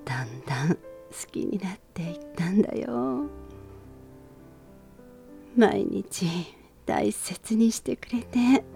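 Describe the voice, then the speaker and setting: A woman playing a gentle dying mother in a sentimental radio drama, speaking tenderly and breathily to her son, at times near a whisper. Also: mid-range voice, gravelly, heavily acted.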